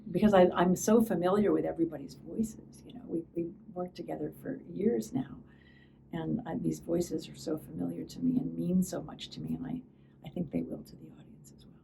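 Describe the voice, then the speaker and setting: A woman's voice: fairly low pitch, steady tone, fairly quick, vocal fry. An older woman, an actor, speaking reflectively in an interview. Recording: distant mic, faint background noise.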